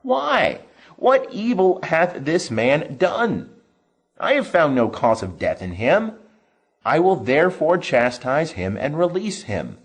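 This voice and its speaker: A man's voice: a little high, sing-song, takes it slowly, slightly rough, pitched above the performer's own voice, heavily acted. A man voicing a stern Roman governor who protests emphatically in an audio scripture reading. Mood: exasperated protest.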